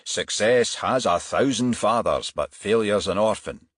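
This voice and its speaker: A man's voice: lowish voice, animated, unhurried, no room sound, clean studio sound. A man voicing a jovial, wry male detective sergeant chatting matily in an audiobook. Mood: wry, cheerful. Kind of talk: audiobook character voice.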